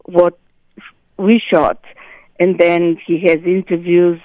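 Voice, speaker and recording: A woman, an actress, chatting as an interview guest in an animated way, often restarting her phrases. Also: fairly low pitch, slow, no room sound, dull audio. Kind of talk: interview chat.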